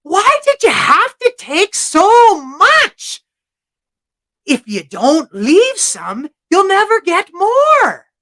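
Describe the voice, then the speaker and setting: A woman's voice: very high-pitched, huge pitch swings, very slow, fairly bright tone, voice pushed up, exaggerated voice. A woman voicing a grumpy, weary leprechaun cobbler who scolds in exasperated, folksy storybook speech. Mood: reproachful.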